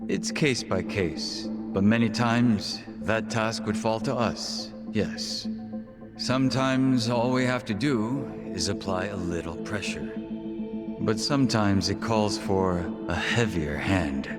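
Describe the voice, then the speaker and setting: Slow, smooth-mannered underworld fixer. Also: male voice, on the low side. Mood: cool, businesslike. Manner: measured, courteous, understated. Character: smooth, composed male underworld fixer, coolly polite. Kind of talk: English video game dub acting. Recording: loud music under the voice.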